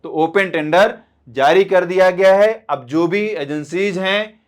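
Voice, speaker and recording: Higher-pitched male exam coach. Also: unhurried. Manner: repeats and rephrases key points, frequent check-in tags. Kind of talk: explanatory lecture to students.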